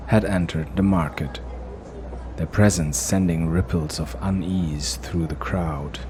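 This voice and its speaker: A man narrates a dark fantasy audio drama with a very low voice and an unhurried, measured delivery. A steady hiss sits under the recording.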